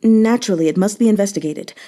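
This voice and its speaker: A woman voicing a shrewd, unreadable male commander in a historical novel, calm, formal and deliberate. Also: mid-range voice, close to an everyday voice. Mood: composed, shrewd.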